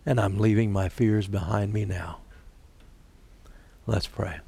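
A man preaching a sermon and leading a prayer, his voice raspy today, speaking deliberately and rising for emphasis. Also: lowish voice, steady tone, warm tone. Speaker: pastor.

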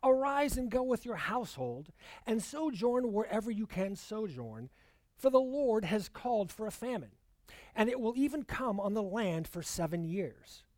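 A man delivering a sermon in an earnest, measured voice. He lingers on key words as he reads scripture. The sound is dry and close, with no room echo. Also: sits high, sing-song, a little mellow. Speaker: preacher.

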